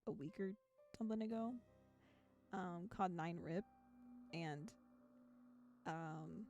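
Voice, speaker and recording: A woman's voice: on the low side, slow pace, creaky, a little mellow. A woman streaming a visual novel, moving between reading aloud and casual commentary in a chatty, giggly manner. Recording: background music behind voice. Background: music.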